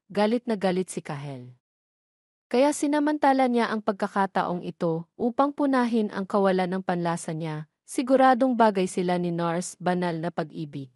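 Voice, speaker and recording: A woman's voice: medium pitch, darkish tone, no echo, clean studio sound. A woman reading scripted narration for an audio drama love story.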